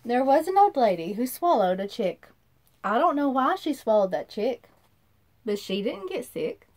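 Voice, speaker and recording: A woman in her thirties reading a rhyming storybook aloud to children in an expressive, sing-song way, recorded in a room at about arm's length from the device. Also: on the high side, normal speed. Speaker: children's read-aloud host. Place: small indoor room.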